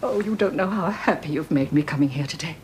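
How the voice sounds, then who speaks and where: A woman's voice: sits low, fast talker, a little mellow, a little hiss or hum, lightly acted. An actress playing a doting elderly mother who rambles and tears up easily, in a radio drama. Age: older. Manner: fussing, rambling, tearful.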